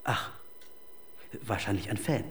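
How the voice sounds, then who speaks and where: An actor playing a smug, evasive music manager in a radio crime drama, coldly businesslike, formal and condescending. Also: male voice, on the low side, measured pace, a bit bright, a little hiss or hum. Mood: smooth, evasive.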